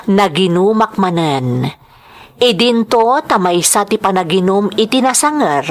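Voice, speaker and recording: A woman playing a defiant, headstrong young woman in an Ilocano audio drama, with an emotive, pleading delivery. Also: mid-range voice. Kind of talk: Ilocano audio drama, dialogue and confessional narration.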